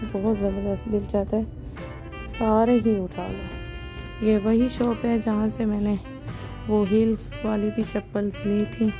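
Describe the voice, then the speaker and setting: A woman's voice: mid-range voice, level tone, unhurried, music in the background. A woman from Pakistan chatting through a shopping vlog, filmed on a handheld phone in a shoe shop inside a large mall. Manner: chatty, enthusiastic about products. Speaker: vlogger. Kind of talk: casual shopping vlog narration. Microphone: handheld phone camera.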